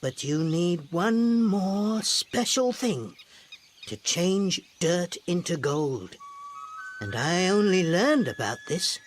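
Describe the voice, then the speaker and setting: Female narrator as crafty old man, storybook tale, slow delivery, dramatic pauses, widely varied intonation. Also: lowish voice, very animated, faint music behind, voice pushed down. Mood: excited. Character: wily old father-in-law, secretive and persuasive.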